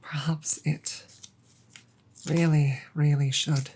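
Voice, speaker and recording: A woman playing a proud but bashful dragon girlfriend in an intimate audio roleplay, with formal wording, a breathy voice and halting, sighing delivery. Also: sits low, level tone, slight background noise. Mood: musing. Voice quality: husky, breathy. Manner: formal wording, hesitant, halting, soft sighs.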